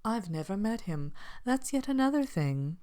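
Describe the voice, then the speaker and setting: A woman playing a vain, haughty evil queen from a fairy tale, speaking softly and often whispering in an ASMR character monologue. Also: mid-range voice, big pitch swings, moderate pace, smooth, lightly acted. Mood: smug, dry. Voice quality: soft-spoken, often breaking into whisper. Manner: haughty, languid, dryly wicked. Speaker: character host of an ASMR show.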